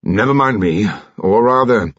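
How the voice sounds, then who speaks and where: A man voicing an awkward, blustering naval commander in an audiobook, clipped and halting. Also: on the low side, fairly bright tone, no echo, muffled recording. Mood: bashful.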